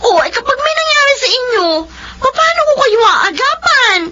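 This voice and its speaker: A high, bright-voiced daughter. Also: female voice, animated, moderate pace, a little hiss or hum, full character voice. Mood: scolding. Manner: emotional, easily tearful. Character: devoted daughter, career office officer. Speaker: lead character and narrator. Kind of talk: radio drama acting. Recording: dull lo-fi radio recording with music.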